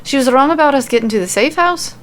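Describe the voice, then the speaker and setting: Woman acting a blunt, hot-tempered female fighter, pitched up. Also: expressive, fast, a little hiss or hum. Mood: irritated. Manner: blunt, grunting, growling.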